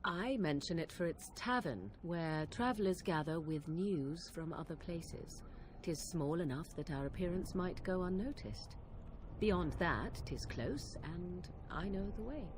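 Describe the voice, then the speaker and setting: A woman's voice: lowish voice, animated, a little slow, noisy background. A woman playing an aloof, sardonic young witch in a video game, with archaic, haughty diction. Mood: aloof, matter-of-fact.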